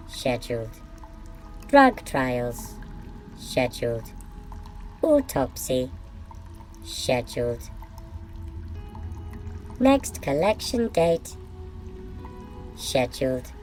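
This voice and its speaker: Slow, low-voiced impassive female computer, acted by a woman. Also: darkish tone, exaggerated voice, low-quality recording. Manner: clipped, procedural, emotionless announcements. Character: impassive female computer processing consent for experiments. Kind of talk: audio drama machine announcements.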